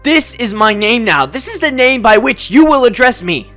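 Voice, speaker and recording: Audio drama golem, put on by an actor: boyish body, ancient vengeful ruler, raspy and wildly inflected, over music. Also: mid-range voice, sing-song, moderate pace, fairly bright tone. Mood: imperious, vengeful. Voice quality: rasping. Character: revived ancient king in a golem boy's body, bitter, imperious.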